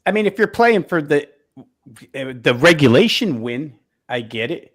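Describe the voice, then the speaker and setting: A man's voice: a little high, big pitch swings, measured pace, fairly bright tone. A man on a live sports betting show, questioning coaching choices in a skeptical, casual way.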